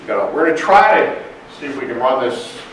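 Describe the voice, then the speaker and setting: A man giving a technical conference presentation in a large room, speaking loudly and plainly. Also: medium pitch, big pitch swings, fairly quick, a little hiss or hum. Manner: loud, plain-spoken, apologetic about slides. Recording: very echoey room, distant mic.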